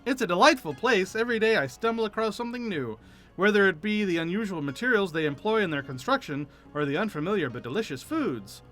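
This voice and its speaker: An eager young carpenter apprentice from a video game, played by a man, effusive and theatrical. The voice is high and bright, swinging freely in pitch, with music underneath. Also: animated, fast talker. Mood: delighted. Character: eager, chatty young carpenter apprentice who loves food. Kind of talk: game dialogue read aloud.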